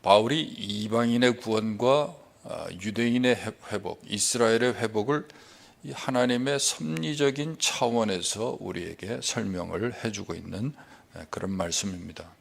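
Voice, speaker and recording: A man preaches a sermon as pastor, his voice fairly low and gravelly. He speaks very quickly, stringing thoughts together with frequent fillers. The delivery is that of a busy, well-practiced preacher.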